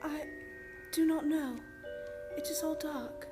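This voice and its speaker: An actress playing a troubled young woman under a vampire's spell in a radio drama, dreamy and halting. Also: high voice, fairly even intonation, over music. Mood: entranced. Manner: hushed, halting, trancelike. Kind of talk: old-time radio drama acting.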